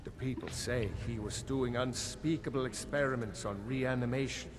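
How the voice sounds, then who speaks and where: A man's voice: medium pitch, moderate pace, lightly acted. Man acting a shady tavern fence in a cavernous hall. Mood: sly, hushed. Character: shady, weary tavern keeper and underworld fence. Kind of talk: video game character dialogue. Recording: reverberant game audio with background noise.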